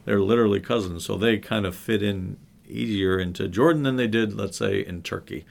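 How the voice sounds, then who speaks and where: A man's voice: lowish voice, a little fast, creaky. A middle-aged man, a researcher telling anecdotes in a thoughtful, conversational way during a panel discussion.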